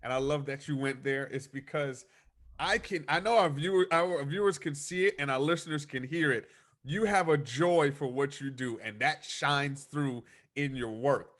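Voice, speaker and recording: A man's voice: fairly high pitch, moderate pace, a bit bright. A man hosting a podcast interview, effusive and praising, often restarting his sentences. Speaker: podcast host. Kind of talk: conversational interview.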